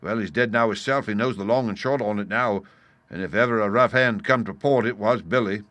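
Guy playing a growly grumbly pirate coxswain in a storybook, rough sailor talk, punchy dry sound. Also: fairly low pitch, fairly quick, a bit bright, dry recording, lo-fi sound, lightly acted. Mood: grimly amused. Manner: growling, rustic sailor dialect. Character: grumbling, impatient, coarse male pirate coxswain. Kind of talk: dramatised storybook reading.

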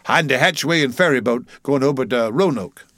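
A man's voice: lively intonation, a little fast, fairly bright tone, no room sound, clean studio sound, lightly acted. A man voicing a sulky ship's cook of about ninety, muttering testily in dialect, in an audiobook story. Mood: testy. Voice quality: shrill when raised. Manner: muttering, testy, dialect speech.

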